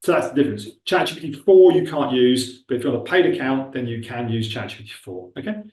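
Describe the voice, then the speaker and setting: A man's voice: mid-range voice, animated, fast, a little mellow, recorded from a distance. A man with a Milton Keynes accent giving a casual tutorial, chatty and thinking aloud as he clicks through a demo. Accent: Milton Keynes English. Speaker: recruitment trainer. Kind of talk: casual screen-share tutorial. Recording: roomy echo, speaker away from the mic.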